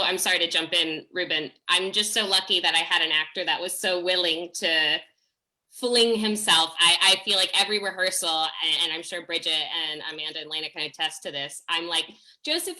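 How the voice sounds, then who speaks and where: A woman's voice: mid-range voice, bright, forceful tone, poor audio. A woman speaking as a theatre director in a video call discussion, effusive and chatty, often cutting across her own sentences. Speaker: theatre director and fight choreographer.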